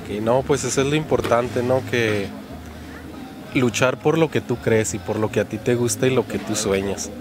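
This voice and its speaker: A man's voice: medium pitch, normal speed, background noise. A man in his thirties hosting an interview outdoors, chatty and friendly, with a handheld mic and many fillers. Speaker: host. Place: outdoors on a park bench by a street.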